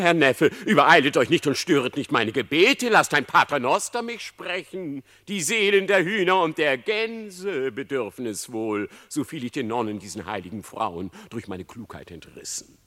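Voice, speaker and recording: Sly, swooping, wheedling fox. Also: male voice, medium pitch, sing-song, moderate pace, hardly any pauses, a bit bright. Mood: affronted, sanctimonious. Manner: wheedling, theatrical, mock-humble. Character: sly, flattering, greedy male fox feigning piety. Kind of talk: dramatic verse radio play.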